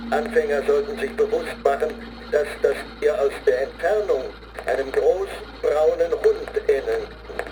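An actor playing a grim zealot who recites a training manual deliberately, like an incantation, in a radio play. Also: male voice, on the high side, background noise, natural voice. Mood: solemn, ominous. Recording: studio radio play with music underneath. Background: music.

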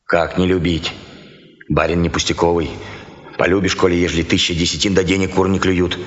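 An actor playing a garrulous peasant telling gossip over drinks in sly, folksy speech, in a radio dramatization. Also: male voice, sits low, little pitch movement, lightly acted. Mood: admiring, unhurried. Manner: folksy, sly, drawn-out telling with repeated words. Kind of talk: dramatized literary storytelling in rustic folk speech. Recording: dull, echoey hall-like reverb.

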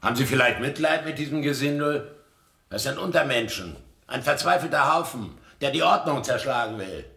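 A male actor playing a callous, suspicious guard with a sharp, gravelly voice in a radio play. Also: mid-range voice, animated, normal speed, echoey room. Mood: contemptuous. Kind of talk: German science-fiction radio play acting.

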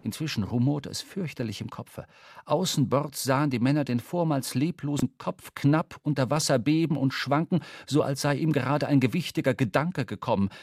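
A man narrating a literary radio drama as a storyteller, expressive and dramatic. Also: medium pitch, moderate pace, no echo, clean studio sound. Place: dry studio.

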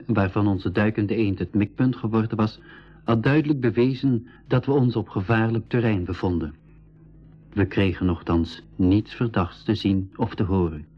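An actor narrating an adventure for a school radio drama in a measured, serious way. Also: male voice, fairly low pitch, slightly rough. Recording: dull band-limited 1980s radio recording.

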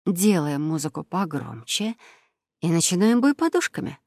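Woman reader as a playful, low-pitched storybook mum. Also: big pitch swings, normal speed, a little mellow, no echo, studio quality. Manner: musing, playful. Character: candy mother, playful, thoughtful, gentle storybook mum. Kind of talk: children's audio storybook character voice.

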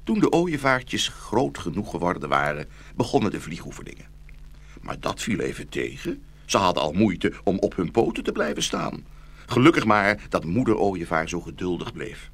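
A man's voice: low voice, big pitch swings, moderate pace, vocal fry, fairly bright tone, husky. A man narrating a scripted fairy tale for children as the storyteller of a radio play.

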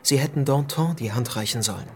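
An actor playing a disillusioned, courteous politician in a radio play, speaking in a measured, formal way. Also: male voice, mid-range voice, a little mellow, natural voice. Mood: bitter, measured. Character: disillusioned, earnest politician, gently courteous lover.

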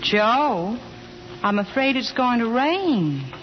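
Melodramatic high-voiced scheming wife, old radio. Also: female voice, big pitch swings, slow. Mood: melodramatic, coy. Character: scheming, melodramatic married woman professing forbidden love. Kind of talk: 1940s radio comedy acting. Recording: muffled, noisy 1940s radio recording.

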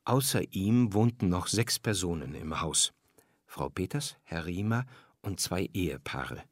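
A man narrating a scripted children's story, reading aloud expressively and with clear phrasing. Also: sits low, animated, measured pace, no room sound, clean studio sound.

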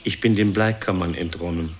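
Deep-voiced, cowed aging son. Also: male voice, fairly even intonation, fairly quick. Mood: cowed, halting. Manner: hesitant, deferential, sighing. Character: cowed, melancholy aging son, formal and submissive. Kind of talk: German radio play acting.

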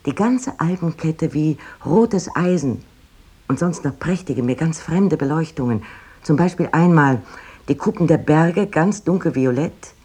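A woman's voice: deep voice, animated, measured pace, warm tone, husky, exaggerated voice. An actress playing a wry, rapturous woman poet of the 19th century, reading letters expressively in a radio play.

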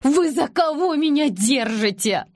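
A woman's voice: on the low side, quick pace, dark tone, slightly rough, no room sound. Wronged pregnant villager played by female reader, audiobook drama. Guarded and bitter, defiant, dry studio sound. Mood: desperate, outraged. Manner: breaking, breathless outcry when provoked.

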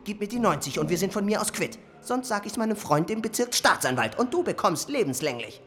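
A woman's voice: mid-range voice, big pitch swings, a little fast, noisy background, close to an everyday voice. An actress playing a brash, greedy lawyer in a German radio drama, hectoring and sarcastic as she cuts in. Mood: greedy, bullying. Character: brash, greedy, bullying court-appointed lawyer.

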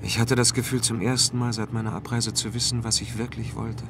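An actor playing a brooding, world-weary traveller in a German audio drama about a dark space voyage. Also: male voice, medium pitch, flat delivery, fairly quick, over music, lightly acted. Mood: brooding, reflective.

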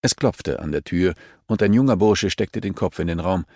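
Low-voiced man narrating an audiobook, dry sound. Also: normal speed, mellow, no echo. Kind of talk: scripted fiction narration.

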